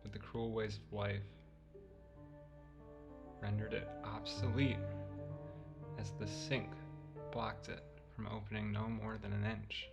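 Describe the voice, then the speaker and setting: Radio drama lead: obsessed man coming unglued, played by a male actor, lowish creaky voice, melodramatic delivery over prominent music. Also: little pitch movement. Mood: brooding unease. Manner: brooding, deliberate, melodramatic. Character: obsessive, increasingly unhinged man confessing his fixation. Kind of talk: scripted first-person radio drama monologue. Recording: loud music under the voice.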